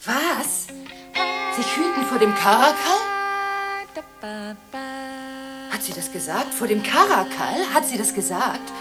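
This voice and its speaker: A woman's voice: fairly high pitch, very animated, moderate pace, loud music behind the voice. An actress playing an agitated, contradictory woman in a German radio drama, talking impulsively through one side of nonstop phone calls. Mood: flustered, chatty. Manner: breathless, impulsive, swinging between protest and hushed brooding.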